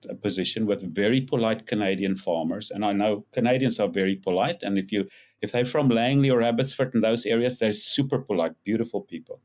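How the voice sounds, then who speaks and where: An older man with a South African accent, a podcast guest telling his story in a lively, animated way. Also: medium pitch. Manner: animated storyteller, rises when acting out quotes. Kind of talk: casual interview storytelling.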